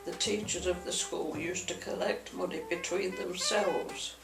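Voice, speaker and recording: A woman's voice: lowish voice, fairly even intonation, measured pace, vocal fry, echoey room, recorded from a distance, over music. An older woman steadily recalling detailed memories from her school days. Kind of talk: reminiscing.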